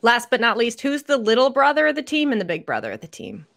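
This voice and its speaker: A woman in her thirties co-hosting a podcast over a video call, asking questions in a lively, upbeat way. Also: fairly high pitch, quick pace, gravelly. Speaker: podcast co-host. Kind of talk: casual chat interview.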